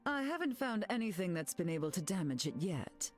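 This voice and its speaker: A woman's voice: fairly low pitch, very animated, brisk. A woman playing a glamorous telepathic queen in a video game, poised, theatrical and condescending. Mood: disdainful. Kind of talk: video game hero voice lines. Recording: game dialogue over loud music.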